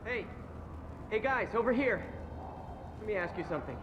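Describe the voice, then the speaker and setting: An actor playing a jittery, street-tough convict in a video game, talking in offhand slang. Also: male voice, sits high, expressive, moderate pace, dull audio, noisy background. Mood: pleading.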